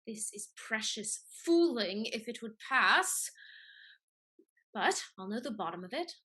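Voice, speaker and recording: Sharp, husky scheming society woman played by a woman. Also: a little high, sing-song, measured pace, full character voice, low-quality recording. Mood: suspicious, scheming. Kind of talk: scripted stage comedy acting.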